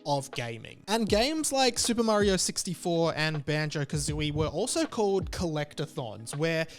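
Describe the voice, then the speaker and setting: A man in his thirties with a fairly high voice hosts a video essay. He talks conversationally, leaning on key words with enthusiasm. Soft music plays behind him. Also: expressive, moderate pace. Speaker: video creator and host. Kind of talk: semi-scripted video essay narration to camera.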